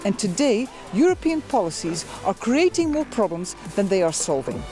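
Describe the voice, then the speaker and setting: A woman's voice: mid-range voice, sing-song, moderate pace. A woman interviewed in a documentary clip, speaking earnestly and emphatically. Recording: played video clip with soft music.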